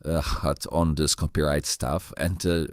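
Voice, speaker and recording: Very low, halting male host. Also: little pitch movement, dry recording, clean studio sound. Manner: halting, repeats words, non-native phrasing. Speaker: host of a tutorial channel. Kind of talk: casual interview chat.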